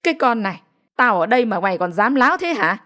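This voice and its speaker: A woman's voice: fairly high pitch, sing-song, fast talker, a bit bright. A woman voicing a domineering, hot-tempered elderly mother-in-law in an audio story, scolding harshly and stammering with anger. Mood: enraged.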